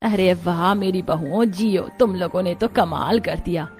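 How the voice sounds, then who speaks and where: A woman's voice: lowish voice, normal speed, darkish tone, soft background music, lightly acted. A woman voicing an older Indian mother-in-law in a Hindi cartoon family story. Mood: delighted.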